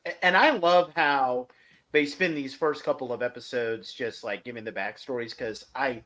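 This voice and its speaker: A man's voice: medium pitch, normal speed, fairly bright tone. A man co-hosting a podcast, giving an enthusiastic, opinionated fan review in a casual discussion.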